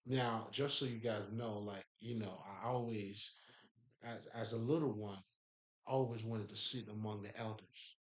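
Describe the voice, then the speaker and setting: A man's voice: lowish voice, steady tone, unhurried. Haitian-accented man in an echoey room. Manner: loose, profane, emphatic, laughs often. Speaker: spiritual speaker. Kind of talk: casual spiritual talk.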